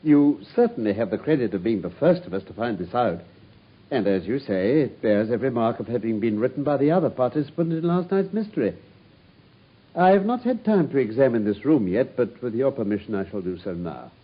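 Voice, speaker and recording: A man's voice: mid-range voice, lively intonation, brisk, breathy edge. A man playing a brilliant, cool-headed detective who speaks with precise, ironic politeness in a radio drama. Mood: dryly polite. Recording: dull lo-fi radio broadcast.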